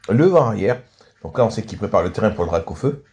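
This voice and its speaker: A man's voice: lowish voice, expressive, fairly quick, a little mellow. A man hosting and casually commentating on video game matches, slightly stuffed up with a cold. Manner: frequent throat clearing and coughing.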